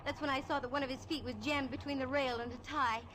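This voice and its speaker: A woman playing a brisk, wry female private eye, narrating a 1950s radio drama in a crisp manner. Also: sits high, steady tone, lightly acted. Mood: tense.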